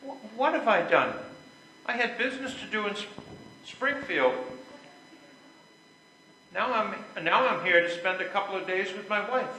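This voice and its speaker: An older man playing a brash, self-justifying wayward husband in a period stage play reading, blustering and a little halting. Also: high voice, lively intonation, pushed and bright, a little hiss or hum, full character voice. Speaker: amateur readers-theater player. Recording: echoey room.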